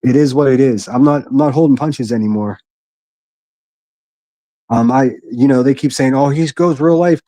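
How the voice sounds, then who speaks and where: A man with a gruff voice hosting a solo livestream from his quiet living room, reading chat and speaking bluntly with frequent restarts. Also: mid-range voice, normal speed, pauses often, dry recording. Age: adult, a military veteran. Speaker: livestream host.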